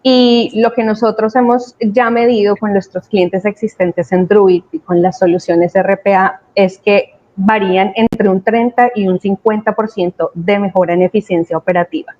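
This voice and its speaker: A woman's voice: mid-range voice, steady tone, moderate pace, hardly any pauses, dark tone. A woman with a Latin American accent speaking as a webinar panelist, explaining her points with emphasis. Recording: dull, lo-fi online call sound.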